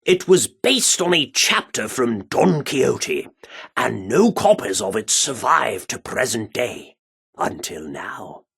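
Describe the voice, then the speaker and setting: An actor playing a pompous, excitable British theatre director in a video game, speaking in grandiose, florid Shakespearean style. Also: male voice, mid-range voice, slow, gravelly, slightly rough, full character voice. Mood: earnest, reverent.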